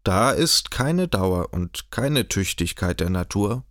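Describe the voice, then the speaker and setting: A man's voice: fairly low pitch, sing-song, slow, fairly bright tone, no room sound, clean studio sound, exaggerated voice. Man voicing a grave, grumbling older official, stout and stern, audiobook.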